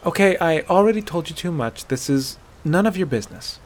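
Man voicing a fierce, high-pitched hot-tempered huntress. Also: expressive, brisk, close to an everyday voice. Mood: exasperated, indignant. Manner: shouting, swearing, stammering outbursts. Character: fierce, hot-tempered huntress werewolf woman, exasperated and brash. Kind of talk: dramatic fantasy audiobook character voice.

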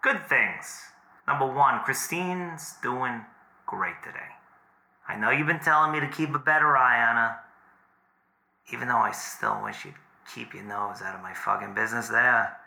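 An actor playing a cocky, teasing, foul-mouthed but affectionate boyfriend in naturalistic audio drama, bantering breezily. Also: male voice, medium pitch, normal speed, gravelly, bright, forceful tone, echoey room. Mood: breezy, needling.

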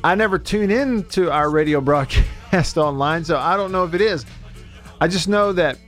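A man's voice: fairly high pitch, moderate pace, fairly bright tone, soft background music. A man hosting sports radio from a studio in a folksy, rambling, chatty way. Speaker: sports radio show host. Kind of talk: casual chat.

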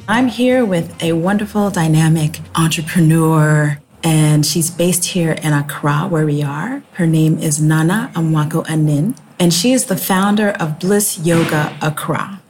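Woman podcast host, low voice. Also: a little mellow. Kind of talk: interview podcast hosting.

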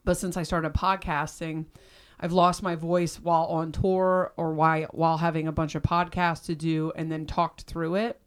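Raspy low-voiced woman podcaster. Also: little pitch movement, normal speed. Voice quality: raspy, deep voice that cuts out. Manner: rambling, loose and candid. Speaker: podcast host. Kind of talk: casual chat.

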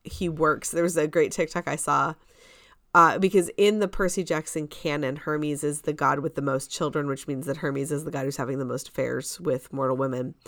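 Woman podcaster, voice a bit on the low side, chatty storyteller, dry sound with no room echo. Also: fairly even intonation, moderate pace, a bit bright. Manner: conversational storytelling, acts out quoted reactions. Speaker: podcast co-host. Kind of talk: casual chat about musical theater.

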